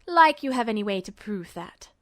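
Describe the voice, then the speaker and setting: A woman playing a calm, polite, calculating male army officer with quiet menace in a story reading. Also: mid-range voice, normal speed, no room sound, studio quality, voice pushed down. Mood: cool menace.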